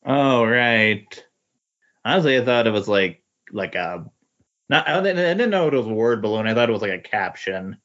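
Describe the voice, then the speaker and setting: A man chatting casually as a podcast co-host, tentative and halting as he reacts. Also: mid-range voice, lively intonation, fairly bright tone. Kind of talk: casual chat. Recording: dull lo-fi voice recording.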